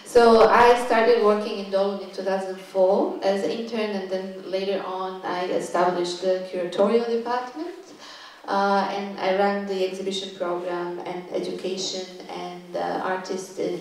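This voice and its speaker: A woman, giving a curator's lecture to a live audience, pausing often as she finds her words. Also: mid-range voice, fairly even intonation, darkish tone, distant mic. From Serbia. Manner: halting, thoughtful, stops often to find words. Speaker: guest curator giving a talk. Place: university lecture room. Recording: echoey room, voice picked up from afar.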